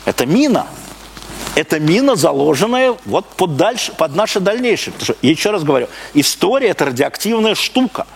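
A man's voice: sits high, sing-song, fairly quick. A man from Russia giving a live public lecture, speaking emphatically with repeated phrases, rhetorical questions and broken-off sentences. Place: live talk before an audience. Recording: clearly noisy live recording.

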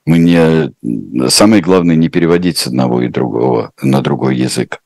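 Very deep-voiced man, hesitant and thoughtful. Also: fairly even intonation, normal speed, vocal fry, a little mellow, dry recording. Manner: hesitant, thoughtful, heavy with filler sounds. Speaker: translator answering viewer questions. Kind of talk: unscripted reflective answers.

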